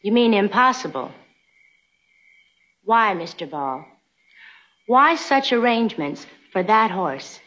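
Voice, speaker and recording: An actress playing a proud, skeptical young American frontier woman in a TV western, crisp and formal. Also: mid-range voice, very animated, slow pace, pauses often, fairly bright tone. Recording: dull old soundtrack with music.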